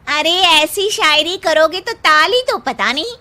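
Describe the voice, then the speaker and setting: A woman voicing an exasperated young wife who nags with sharp retorts in a comic cartoon story. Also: extremely high pitch, lively intonation, measured pace, very noisy. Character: exasperated, scolding young wife of idle husband. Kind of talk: comic animated Hindi moral story.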